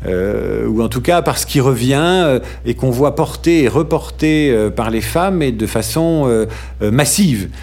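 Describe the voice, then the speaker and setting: A middle-aged man from France in an interview, talking thoughtfully with frequent "euh" pauses and restarts and some dry humor. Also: mid-range voice, lively intonation, a little mellow. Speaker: interview guest. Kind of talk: hesitant conversational interview.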